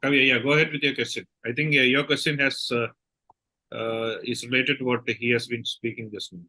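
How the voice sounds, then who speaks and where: Male moderator, very sharp, forward tone, casual hosting of an online session. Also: medium pitch, fairly even intonation, a little fast. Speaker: host, moderator.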